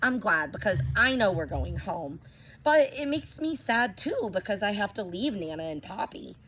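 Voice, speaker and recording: Animated woman reading a picture book aloud. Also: mid-range voice, a little hiss or hum, low-quality recording. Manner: expressive reading that pauses to ask listeners questions. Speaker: teacher. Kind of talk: picture-book read-aloud for young children.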